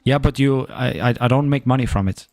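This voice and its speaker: Young Danish-accented male streamer, mid pitch, relaxed and a bit profane. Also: brisk, soft background music. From Denmark. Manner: laid-back, swears casually, chats to viewers. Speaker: game streamer. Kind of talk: casual gaming stream chat.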